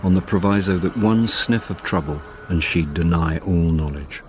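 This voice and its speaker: A deep-voiced, middle-aged amateur detective, played by a man in a radio drama. He is wry, understated and put-upon, both narrating and talking, with faint noise behind him. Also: moderate pace, natural voice. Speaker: narrator and lead character. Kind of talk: first-person radio drama narration and dialogue.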